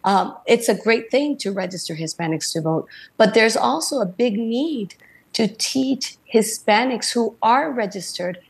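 A woman with a fairly high, somewhat breathy voice speaks as a guest on a radio panel. She explains her points in an earnest, plain way. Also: lively intonation, a little slow.

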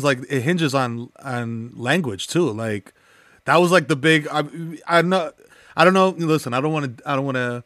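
A man's voice: medium pitch, a bit bright, dry recording. A man from the Bronx in his thirties or forties with a New York accent, Spanish his first language, talking casually as a guest and laughing often, full of slang and fillers. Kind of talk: casual conversational interview.

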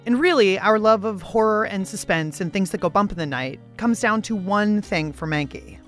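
A woman's voice: mid-range voice, moderate pace, soft background music. An American woman speaking as a podcast host, with a playful, jokey way of narrating and interviewing. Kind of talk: scripted radio narration and interviewing.

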